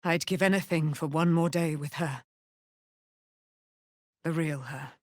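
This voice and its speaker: A woman's voice: sits low, a little slow, darkish tone, dry recording, studio quality. A woman playing a proud immortal paladin, a moon goddess's warrior daughter, in a fantasy video game, speaking in grand, archaic, theatrical declamation. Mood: noble, earnest.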